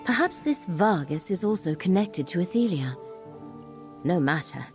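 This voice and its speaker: An actress voicing a solemn, watchful guide of fate in a fantasy video game, speaking in a formal, measured, stately way. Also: female voice, lowish voice, expressive, lightly acted. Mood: grave, watchful. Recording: game audio under loud music.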